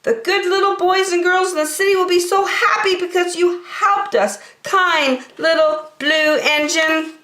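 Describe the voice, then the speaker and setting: Storybook toy clown, played by a woman: little, high-pitched, hopeful, eager, in an echoey room. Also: fairly even intonation, measured pace, few pauses. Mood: jubilant. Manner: bright-spirited, eager, calling out.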